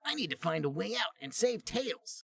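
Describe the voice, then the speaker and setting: Young cartoon hedgehog hero played by a man: high, overwrought, sulky, swooping delivery. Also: big pitch swings, normal speed, creaky, husky, full character voice. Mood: defiant, alarmed. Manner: overwrought, shouty, melodramatic. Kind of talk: parody fan-fiction character reading.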